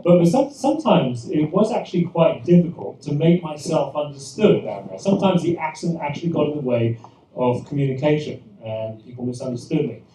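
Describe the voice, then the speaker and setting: A man's voice: fairly high pitch, mellow, a little hiss or hum. A British man, a pastor, telling humorous anecdotes to a church audience with frequent restarts, recorded from a distance in an echoey room. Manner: self-deprecating, anecdotal, many restarts. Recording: echoey room, mic far off, faint ambience.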